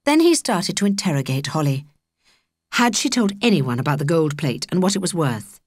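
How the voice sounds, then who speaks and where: A woman with a British accent narrating a children's audiobook in an expressive, measured storytelling manner. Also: low-pitched, no echo, studio quality. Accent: British English.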